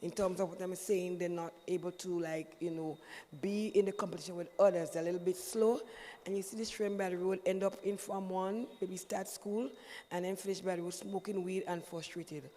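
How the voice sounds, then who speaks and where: A hoarse woman speaks up from the audience, her voice low-pitched and mellow. She talks plainly and conversationally. The sound carries a long hall echo with faint room murmur underneath. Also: steady tone, fairly quick, slight background noise. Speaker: audience member asking a question. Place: town hall meeting venue.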